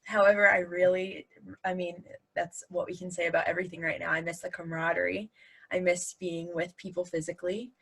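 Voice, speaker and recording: A woman's voice: on the low side, little pitch movement, fairly quick, a bit bright, husky, rough recording. A woman who acts, chatting informally and earnestly on an online panel. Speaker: actor. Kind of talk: casual panel chat. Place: video call.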